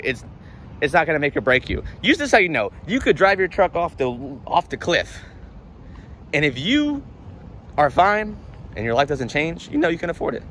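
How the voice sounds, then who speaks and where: A man's voice: mid-range voice, fairly bright tone. A young man talking off the cuff in a casual vlog, blunt and emphatic, repeating himself to drive points home as he walks outdoors. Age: young adult. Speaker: car vlogger giving money advice. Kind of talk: casual unscripted vlog rant. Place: outdoors, walking while recording. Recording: outdoor recording with street noise.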